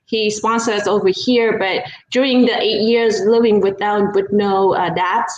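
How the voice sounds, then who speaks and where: A woman's voice: mid-range voice, fairly even intonation, normal speed. A woman from Vietnam with a Vietnamese accent, telling her life story in an earnest, modest way as an interview guest. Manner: modest, earnest, with small restarts. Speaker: guest, business partner. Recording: roomy with faint background noise.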